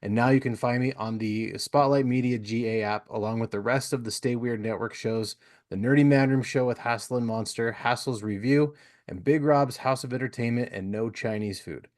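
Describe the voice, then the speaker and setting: A man's voice: medium pitch, normal speed, darkish tone. A man of about forty hosting a podcast, chatting in an informal, chummy way. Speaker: podcast host. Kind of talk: casual interview chat.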